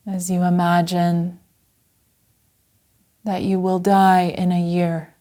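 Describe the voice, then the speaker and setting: Woman, meditation guide, very slow pace, mellow dark timbre, gentle, long pauses. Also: lowish voice, completely flat delivery. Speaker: Zen Buddhist teacher guiding a meditation.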